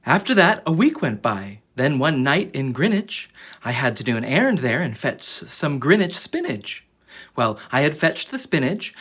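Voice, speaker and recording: A storyteller with a husky voice reading a children's book aloud in a dramatic, expressive way. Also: medium pitch. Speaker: children's story reader and channel host.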